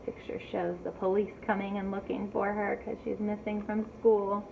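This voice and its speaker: A woman reading a story aloud to young children in an animated teacherly way, pausing to ask them simple questions. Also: medium pitch. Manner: addresses young listeners directly with simple questions. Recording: thin phone-like audio with clear background noise.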